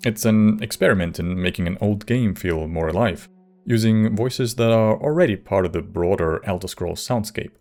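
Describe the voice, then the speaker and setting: Guy doing scripted youtube narration, lowish voice, kinda wry and measured. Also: a little mellow. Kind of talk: scripted video essay narration.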